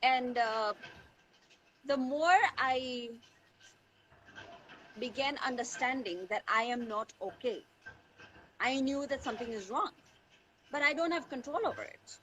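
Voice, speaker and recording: A woman's voice: sits high, measured pace, pauses often, fairly bright tone, poor audio. A woman from Tanzania answering as an interview guest in a conversational way, searching for words and repeating phrases for emphasis. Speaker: interview guest, teacher and mental health advocate. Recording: online call with faint background noise.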